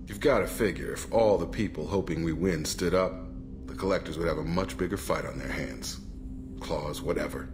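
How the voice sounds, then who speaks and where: An actor playing a dry, world-weary alien soldier and loyal comrade in a video game, wry and easygoing. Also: male voice, deep voice, normal speed, music in the background, close to an everyday voice. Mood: wry, reflective. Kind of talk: video game character acting.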